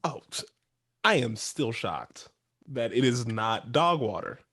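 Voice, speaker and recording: A man's voice: mid-range voice, sing-song, slow, a bit bright, breathy edge. A man co-hosting a casual chat, talking in slangy, exaggerated emphasis. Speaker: podcast co-host.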